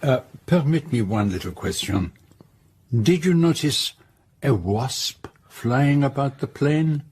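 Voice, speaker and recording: An actor playing a courteous, observant Belgian detective with a French-speaking Belgian accent and formal, precise phrasing in a radio drama. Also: male voice, medium pitch, animated, slow, darkish tone, slightly rough. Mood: measured, curious. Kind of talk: radio drama acting and narration.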